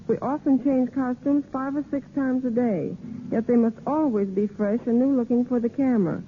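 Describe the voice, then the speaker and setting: Young woman on tinny vintage radio, high-pitched. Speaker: radio guest, dance director. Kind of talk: scripted 1930s radio guest talk. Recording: noisy, narrow-band 1930s radio recording.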